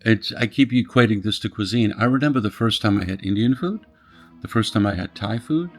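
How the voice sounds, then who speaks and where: A man's voice: fairly low pitch, fairly quick, soft background music. A middle-aged man from Poland, interviewing in a thoughtful, searching way and often restarting his phrases. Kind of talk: reflective conversation.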